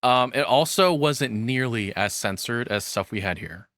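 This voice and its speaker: A man's voice: mid-range voice, animated, a little slow, fairly bright tone, no room sound, studio quality. A man in his thirties giving casual, rambling reaction commentary as the host, swearing freely. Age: 30s.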